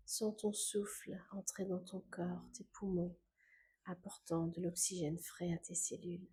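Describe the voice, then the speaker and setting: A woman guiding a scripted meditation in a calm, gentle, unhurried way, with soft phrase endings that fade into breath. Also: lowish voice, slight background noise. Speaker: meditation guide.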